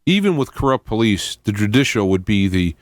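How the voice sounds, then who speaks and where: Low-voiced male radio host, very dry sound. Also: big pitch swings, fairly quick, no room sound. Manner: interjecting, explaining at length, colloquial. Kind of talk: conversational radio interviewing.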